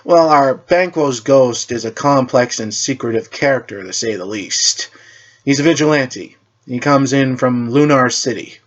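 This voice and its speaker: A man voicing an assured, cryptic leader of a superhero team, speaking in a measured, formal way. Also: mid-range voice, highs cut off, lightly acted.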